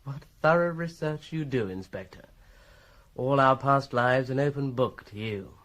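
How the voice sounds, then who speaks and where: An urbane British solicitor played by a male actor in a radio crime drama. His voice is of middling pitch, measured and arch. He explains office life with dry irony and a faintly superior air. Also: fairly bright tone, close to an everyday voice. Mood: arch, amused. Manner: arch, drily ironic, measured.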